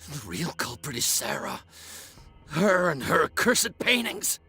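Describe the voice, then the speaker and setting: A haunted, half-mad prisoner in a horror game. His voice is a badly ragged, gravelly rasp that swings wildly between whispers and cries. He speaks slowly and feverishly, as if cursed and hunted. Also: fairly high pitch, very animated, sharp tone, faint music behind, full character voice. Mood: agitated, bitter. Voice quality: raspy, gravelly. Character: blinded, terrified, half-mad male asylum prisoner raving of a curse. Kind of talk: dramatic video game voice acting.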